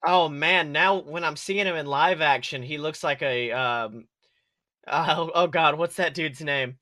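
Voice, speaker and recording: A man talking casually as a podcast co-host, excitable and exclamatory, swearing freely as he goes. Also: a little high, measured pace, no echo. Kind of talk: casual chat about an animated film.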